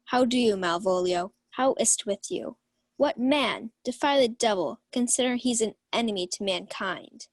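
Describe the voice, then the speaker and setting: A woman's voice: fairly high pitch, moderate pace, dry recording, natural voice. A young woman playing a scheming male knight, mock-solemn and theatrical, in a radio-style Shakespeare play. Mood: mock concern. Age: young adult. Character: scheming, mischievous knight uncle, feigning grave concern. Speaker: student actor.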